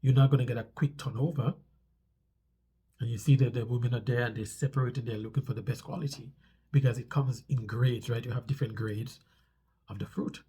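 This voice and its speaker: Husky, stuffy-nosed man, mellow voice. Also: medium pitch, fairly even intonation, fairly quick. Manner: conversational, repeats phrases for emphasis. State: stuffy nose from a cold. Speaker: vlog host. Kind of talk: casual explanatory talk to viewers.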